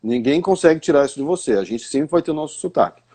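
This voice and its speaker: A man's voice: a little high, expressive, fast, a little mellow. A Brazilian man, an English teacher, explaining pronunciation in a live stream conversation, often asking rhetorical questions. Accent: Brazilian Portuguese.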